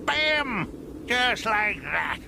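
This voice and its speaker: A man voicing a gruff, grudging, bargaining townsperson in a cartoon game. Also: high-pitched, sing-song, extremely slow, background noise, heavily acted. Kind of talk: animated cartoon game voice acting.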